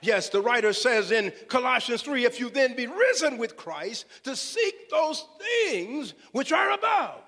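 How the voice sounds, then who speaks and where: A man's voice: extremely high pitch, very animated, measured pace, few pauses, bright, forceful tone. A man preaching a fervent sermon with scripture, exclaiming and repeating phrases for emphasis. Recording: echoey hall with long reverb.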